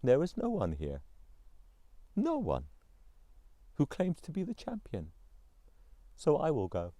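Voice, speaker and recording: A man's voice: fairly high pitch, huge pitch swings, takes it slowly, mellow, no room sound, full character voice. A man as a resolute brave ruler. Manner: firm, commanding speech.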